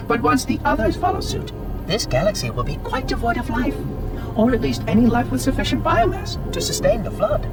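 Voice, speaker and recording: High, fussy, officious robot caretaker. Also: male voice, far from the mic, exaggerated voice. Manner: formal, pedantic, theatrically indignant. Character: prissy, cheerful floating machine caretaker with fussy officious temper. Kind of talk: video game cutscene acting.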